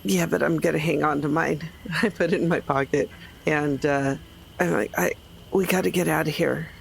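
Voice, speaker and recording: A woman's voice: sits low, fairly even intonation, normal speed, gravelly. A woman playing a tabletop role-playing game, casually describing what her character does. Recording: faint background noise.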